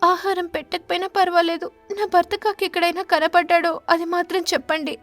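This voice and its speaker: A woman voicing a distressed, plaintive mother sparrow in an animated bird story. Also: extremely high pitch, a little fast, faint music behind, voice pushed up. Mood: sad, polite. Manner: plaintive, emotional.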